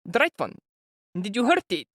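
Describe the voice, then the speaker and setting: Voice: mid-range voice, sing-song, moderate pace, fairly bright tone, no echo, studio quality, pitched above the performer's own voice. A reader voicing an anxious, gushing older mother who talks in breathless exclamations. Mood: fretful. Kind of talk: audiobook character voice.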